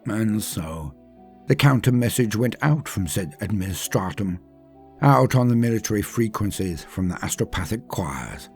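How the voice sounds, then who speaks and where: A man with a husky voice narrates a scripted story in a theatrical, measured, ornate manner. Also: on the low side, lively intonation, a little mellow, faint music behind. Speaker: channel host and storyteller.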